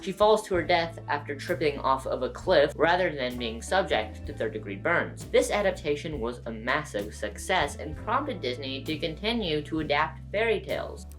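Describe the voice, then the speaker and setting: Low-pitched woman narrating a video essay. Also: expressive, normal speed, hardly any pauses, soft background music. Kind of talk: scripted video essay narration with casual asides.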